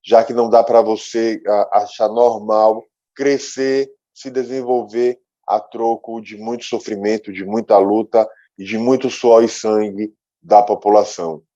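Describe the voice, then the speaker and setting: Male interview host, Brazilian accent. Dark, relaxed tone, conversational delivery, muffled low-fidelity sound. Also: unhurried. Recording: muffled, dull lo-fi audio.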